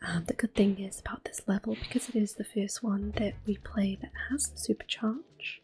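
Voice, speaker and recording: A woman giving gentle, unhurried ASMR game commentary in a soft, breathy, reassuring voice. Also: medium pitch, steady tone, slight background noise. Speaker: ASMR gameplay host.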